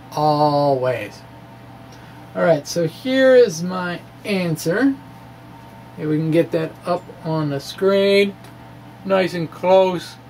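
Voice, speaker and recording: A man giving an informal, halting, wry reading of his physics blog, often pausing and amused at his own asides. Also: high voice. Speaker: amateur physics blogger. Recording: noisy room recording.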